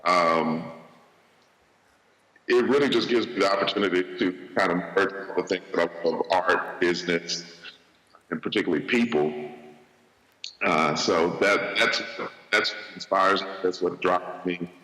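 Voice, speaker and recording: Deep-voiced man in an echoey hall. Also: unhurried, pauses often, sharp tone. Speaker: entrepreneur panelist, chocolatier. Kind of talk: live panel Q&A answer. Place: large event hall on stage. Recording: echoey hall with long reverb.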